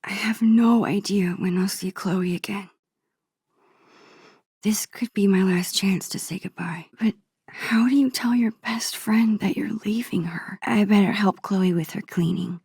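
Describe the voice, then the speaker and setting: A gentle, introspective teenage girl from a video game. Her voice is soft and husky with breath, recorded very dry and close. She takes her time over each phrase, hesitant and wistful, as if musing to herself. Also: mid-range voice, unhurried, no room sound. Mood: sad, wistful. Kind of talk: naturalistic video game dialogue and inner monologue.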